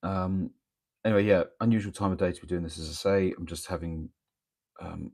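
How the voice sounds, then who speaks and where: A man with a croaky voice from a cold, chatting casually and hesitantly during a morning live stream of his painting, with lots of ums and restarts. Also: low voice, fairly even intonation, fairly quick, a little mellow. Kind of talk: casual live-stream chat while working. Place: home workspace, early morning. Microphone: microphone near the speaker.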